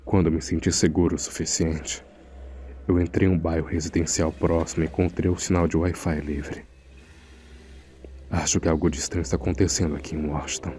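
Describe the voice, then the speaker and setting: A man's voice: rumbling low, level tone, a little fast, mellow. A man playing an uneasy morgue assistant, narrating a horror audio drama in a grave, suspenseful manner. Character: uneasy male morgue assistant recounting a frightening secret. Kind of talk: scripted first-person horror narration.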